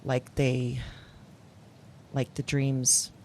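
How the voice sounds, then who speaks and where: A woman playing an uneasy, fascinated dreamer who haltingly confides in an audio diary for an audio drama. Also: a bass voice, monotone, a little mellow, a little hiss or hum, heavily acted. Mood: fascinated, musing. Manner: halting, searching, hesitant with pauses and sighs.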